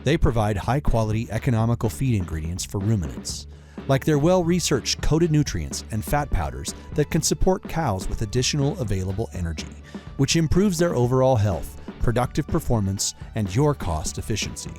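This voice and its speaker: Lively male announcer over music. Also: medium pitch, moderate pace. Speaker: sponsor ad announcer. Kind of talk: scripted ad read. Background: background music.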